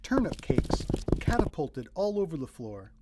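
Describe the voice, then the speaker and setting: A man reading a children's picture book aloud as narrator, in expressive scripted storytelling. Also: a little high, unhurried, heavy background noise.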